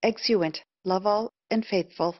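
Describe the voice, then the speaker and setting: A woman's voice: medium pitch, a little slow, no room sound, highs cut off. A woman narrator reading stage directions for a play.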